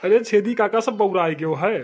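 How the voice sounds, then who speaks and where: A man plays a bossy cartoon villager with a notably high voice and an Awadhi accent. He scolds and threatens in blunt rustic speech, thoroughly exasperated with his companions. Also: normal speed, slight background noise, close to an everyday voice. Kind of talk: comic cartoon dialogue.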